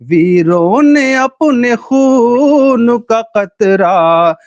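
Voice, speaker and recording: A man speaking as a poet on a remote link, formally thanking the host and then chanting his verse in long, drawn-out, sung lines. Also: extremely high pitch, lively intonation, a little mellow, dry recording, lo-fi sound, soft background music. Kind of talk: reciting verse in a slow chant.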